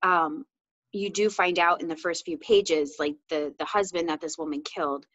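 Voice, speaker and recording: A woman's voice: on the low side, fairly even intonation, moderate pace, a bit bright, no echo, lo-fi sound. A woman co-hosting a book discussion in a casual, candid, conversational way, often peppering her talk with "like". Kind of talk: casual chat.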